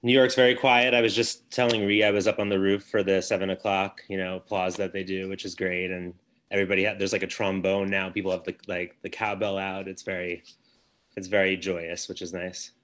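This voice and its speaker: Relaxed low-voiced man at home. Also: a little fast. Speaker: guest dancer. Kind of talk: casual chat. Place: home apartment. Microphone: video call.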